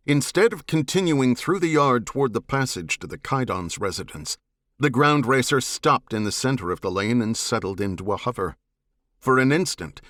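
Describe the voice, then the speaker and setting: A man reading dramatic fiction as an audiobook narrator, in a measured, serious manner. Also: medium pitch, a bit bright, no room sound, clean studio sound.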